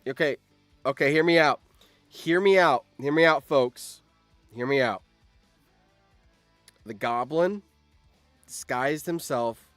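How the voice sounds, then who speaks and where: A man hosting a live stream, reading out game events and reacting in an animated, exclamatory way with repeated phrases. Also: mid-range voice, no room sound.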